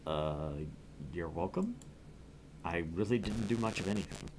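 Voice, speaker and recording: A man's voice: low-pitched, lively intonation, measured pace, noisy background. A man co-hosting a gaming stream, reading a visual novel aloud with casual asides.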